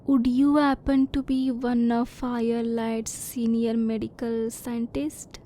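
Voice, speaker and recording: A woman playing a curious, reserved alien scientist in an ASMR roleplay, speaking formally and precisely with measured restraint. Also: fairly high pitch, level tone, mellow, slight background noise. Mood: grave, apologetic.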